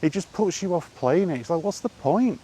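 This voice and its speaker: A man's voice: a little high, expressive, brisk, warm tone. A British man talking off the cuff in an exasperated, sweary rant, like a gaming vlogger chatting while out walking. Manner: exasperated, sweary, repetitive rhetorical questions. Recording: outdoor recording with clear background noise.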